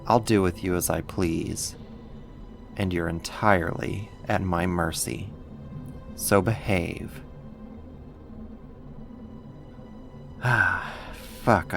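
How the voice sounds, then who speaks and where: A man's voice: sits low, gravelly, heavily acted. A man playing a teasing, possessive, menacing villain kidnapper in an ASMR roleplay, drawing out his taunts with sighs. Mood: menacing, smug. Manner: slow, drawn-out teasing with sighs.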